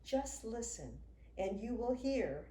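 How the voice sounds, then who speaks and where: A woman in her sixties, a children's author, reading a picture book aloud to young children in an expressive, sing-song way. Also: fairly high pitch, slow pace. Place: indoors in a home room with a wooden desk. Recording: roomy sound with faint noise.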